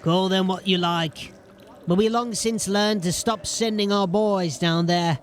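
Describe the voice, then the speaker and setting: An actor playing a tough, wary gang lieutenant in a fantasy audio drama, speaking in a guarded and ominous manner. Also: male voice, high voice, fairly even intonation, unhurried. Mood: ominous warning.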